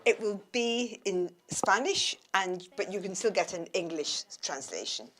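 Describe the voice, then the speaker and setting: A woman's voice: mid-range voice, animated, measured pace, fairly bright tone. A woman working as a simultaneous interpreter, steadily and carefully relaying a speaker's prepared talk.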